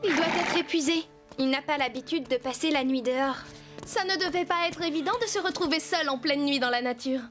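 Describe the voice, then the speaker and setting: A woman's voice: high voice, a little fast, music in the background, lightly acted. A woman voicing a concerned, understanding young girl rider in a children's cartoon. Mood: sympathetic. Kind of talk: French cartoon dub acting.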